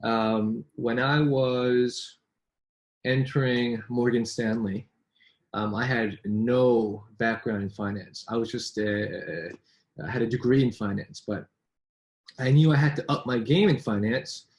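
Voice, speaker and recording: Casting: male business coach in casual chat, medium pitch, repeats words and tags lines with "right?". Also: slow pace, darkish tone. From born in New York, raised in Vancouver. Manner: chatty, with frequent restarts and rhetorical "right?". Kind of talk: casual conversation between colleagues.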